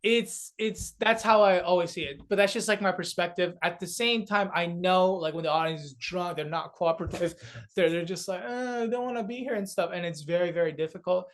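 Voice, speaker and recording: A young man, a guest comedian talking in a casual interview, animated, with many fillers and restarts. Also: sits high, brisk. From South Korea.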